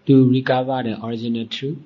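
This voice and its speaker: A man teaching as a Buddhist monk, leading a guided meditation in deliberate short phrases with long pauses. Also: medium pitch, flat delivery, slightly rough. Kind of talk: guided meditation dhamma talk. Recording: dull, muffled lo-fi recording.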